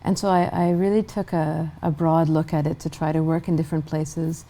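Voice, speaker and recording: A middle-aged woman from Guyana, a human rights director, answering interview questions in a measured way with frequent restarts. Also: low voice, flat delivery, mellow.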